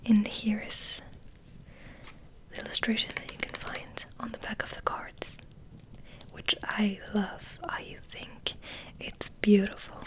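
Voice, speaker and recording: A woman hosts an ASMR session in a soft, breathy whisper. Her delivery is slow and musing, with very little rise or fall in pitch. She sounds gentle and unhurried throughout. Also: flat delivery, low-quality recording. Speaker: ASMR host.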